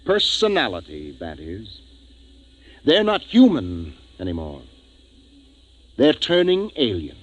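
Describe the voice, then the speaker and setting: A man's voice: lowish voice, huge pitch swings, takes it slowly, long pauses, heavily acted. An actor playing a grave, decisive male spaceship captain who narrates his tale in a 1950s radio drama. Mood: grim. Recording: dull 1950s radio recording, music behind.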